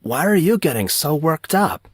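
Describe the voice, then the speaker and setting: A man's voice: fairly high pitch, sing-song, normal speed, dry recording, studio quality, pitched above the performer's own voice. Man voicing a casual, teasing teenage boy. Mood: amused, puzzled. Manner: casual, slangy. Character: laid-back, teasing fourteen-year-old schoolboy.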